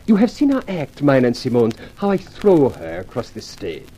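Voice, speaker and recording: An actor playing a smug, menacing strangler in a 1950s radio thriller, with a leisurely, gloating manner. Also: male voice, mid-range voice, wildly swooping pitch, normal speed, mellow, breathy edge. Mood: smug menace. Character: menacing male Adagio dancer, smug, murderous strangler. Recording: 1950s radio recording, faint noise.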